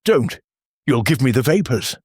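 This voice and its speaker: A man's voice: mid-range voice, very animated, normal speed, husky, no room sound, studio quality. A man voicing a theatrical, flippant society woman with nervous gaiety in an audiobook mystery. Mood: brittle gaiety. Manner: affected, teasing, theatrical.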